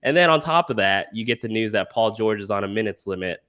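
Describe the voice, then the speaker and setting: A man's voice: on the low side, expressive, quick pace, a bit bright. A congested man with a nasal sound, giving rambling, frustrated sports fantasy analysis. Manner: rambling, frustrated, filler-heavy. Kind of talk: casual analysis chat.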